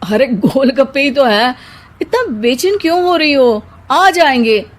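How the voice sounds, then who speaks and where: A woman's voice: high voice, measured pace. A woman voicing a patient young husband in a cartoon story, soothing and mildly exasperated. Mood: placating. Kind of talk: Hindi cartoon story dialogue.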